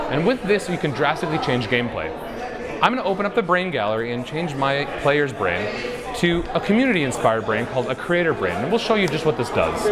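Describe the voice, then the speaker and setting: A man giving a live product demonstration in an upbeat, playful showman's manner. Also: on the high side. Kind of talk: live stage demo presentation. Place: busy trade show floor. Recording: loud crowd noise behind. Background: convention crowd.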